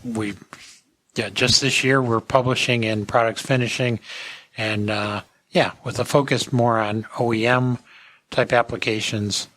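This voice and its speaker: A man's voice: medium pitch, steady tone, a little slow, fairly bright tone, no echo. A man hosting a podcast, talking casually in a hesitant, meandering way. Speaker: podcast host.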